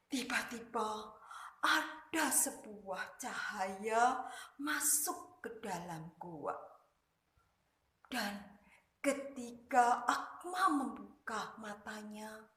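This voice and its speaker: Slow, bright-voiced woman storyteller in echoey room. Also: on the high side. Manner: deliberate, broken into short emphatic phrases. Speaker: kindergarten teacher and storyteller. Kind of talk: dramatic children's storytelling.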